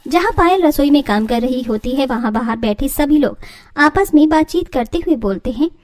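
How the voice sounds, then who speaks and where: A woman's voice: sits high, animated, normal speed, darkish tone. A woman delivering scripted storytelling narration as the narrator of a family tale.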